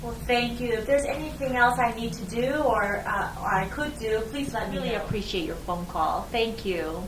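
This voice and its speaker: Courteous, protective mother played by a woman, earnest and halting, higher voice, distant in a roomy echo. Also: close to an everyday voice. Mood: grateful, apologetic. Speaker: parent. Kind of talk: role-played phone call demonstration. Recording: echoey room, distant mic, faint noise.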